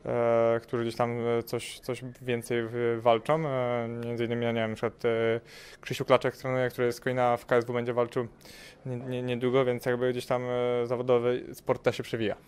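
Young male boxer, flat delivery, halting with fillers, handheld mic at an event. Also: mid-range voice, clear, resonant tone. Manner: hesitant, many fillers and repeated words. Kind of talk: casual interview answers. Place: event press wall, indoors. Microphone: handheld mic held by interviewer.

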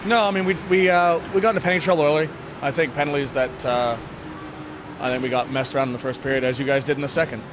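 A man in his thirties, a sports coach giving a candid, casual post-game interview in a plain-spoken, halting way with repeated words. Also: mid-range voice, steady tone. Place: indoor sports hall, blue block walls. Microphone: handheld interview microphone. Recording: noisy venue with background ambience.

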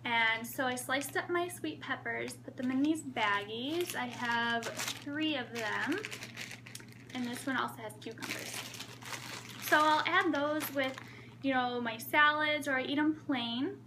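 A woman's voice: high-pitched, a little slow, noisy background. A woman in her thirties, a flight attendant, chatting casually through a how-to vlog with lots of ums, recorded on a camera in a small room at home. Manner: chatty, upbeat, with frequent ums.

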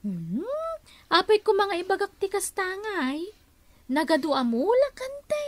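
An agreeable young niece in a radio comedy drama, extremely high in pitch. She speaks with an Ilocano accent, accommodating and generous with her elders. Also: very animated, measured pace, exaggerated voice.

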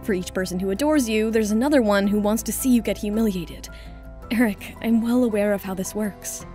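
Narrator as a calm, mellow young wife. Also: female voice, mid-range voice, a little fast, faint music behind, voice pushed down, lightly acted. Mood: reassuring. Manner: composed, gentle. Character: calm, loyal young female model and wife. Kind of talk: audio drama acting.

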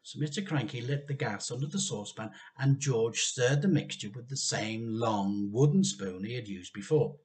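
A man in his fifties reading a children's story aloud, animated and emphatic, drawing out key words. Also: medium pitch, normal speed, hardly any pauses. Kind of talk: expressive children's storybook narration. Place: small living room indoors.

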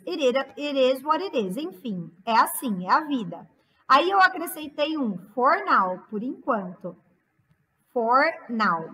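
A woman's voice: high voice, pushed and bright, poor audio. A woman in her thirties with a Brazilian accent, giving a chatty, informal English lesson as an online teacher, translating line by line and repeating phrases for emphasis. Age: 30s. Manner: chatty, instructive, emphatic repetition.

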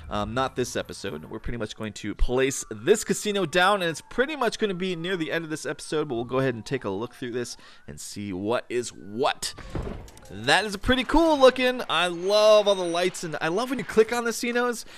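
A man talks through his city-building game in a loose, rambling way, full of fillers. His voice sounds a bit worn and raspy, and his pitch swings up and down a lot as he gets excited. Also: brisk. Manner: chatty, enthusiastic, rambling with fillers. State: slightly raspy, tired voice. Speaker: let's play host. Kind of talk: casual gameplay commentary.

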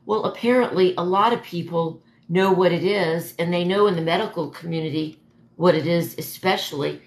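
A woman in her forties with a hoarse voice, chatting casually and haltingly as she answers viewers on a livestream. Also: lowish voice. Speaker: livestream host. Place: dim living room at home, indoors. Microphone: phone propped a step or two away. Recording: echoey room, phone at a distance.

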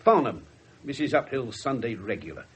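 A man playing a gruff, wry, irreverent English police inspector in a radio drama, blunt and husky. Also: medium pitch, very animated, normal speed. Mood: wry, grumbling. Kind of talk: 1970s radio drama acting.

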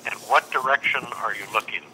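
A man's voice: mid-range voice, quick pace, noisy background. A man answering a UFO reporting hotline on a phone call, patient and formal, often saying "sir" and "please".

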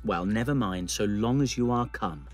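A performer playing an affectionate family father in a Christmas audio drama, with a husky voice. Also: mid-range voice, moderate pace, over music, lightly acted. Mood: fond, fussing.

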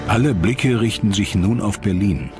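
A man narrating a scripted historical documentary in a measured, dramatic way. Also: on the low side. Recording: dramatic music behind the voice. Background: music.

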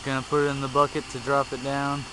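A man's voice: medium pitch, completely flat delivery, fast talker. A man giving matter-of-fact, casual running commentary as he films a bee removal outdoors under trees. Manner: plain, matter-of-fact play-by-play. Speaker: camera operator narrating a bee removal. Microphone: handheld camera mic. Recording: camcorder audio with clear hum and background noise.

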